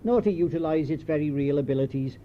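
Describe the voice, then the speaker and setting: An older British man reads his written memoir in a slightly high voice. His storytelling is measured and dryly understated. It sounds like an old home tape, dull in tone with a noticeable rumbling noise behind him. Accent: British English. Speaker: memoir author reading his own writing. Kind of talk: scripted memoir narration.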